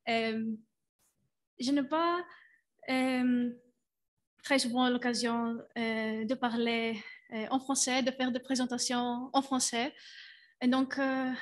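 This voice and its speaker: Slow, halting female student. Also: a little high. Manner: halting, many filler pauses. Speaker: university student. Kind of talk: hesitant prepared remarks.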